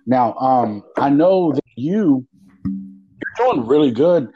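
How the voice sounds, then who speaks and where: A man's voice: mid-range voice, expressive, slow, husky, no echo, faint music behind. A man hosting a casual podcast, rambling with lots of fillers and restarts. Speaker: podcast host. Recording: muffled lo-fi audio.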